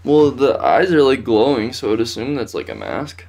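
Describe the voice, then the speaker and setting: A man's voice: mid-range voice, sing-song, normal speed, vocal fry, darkish tone. A young man co-hosting a casual chat from a room at home, speaking in a laid-back, tentative way. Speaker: co-host of a pop culture news show. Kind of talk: casual chat, speculating and asking questions.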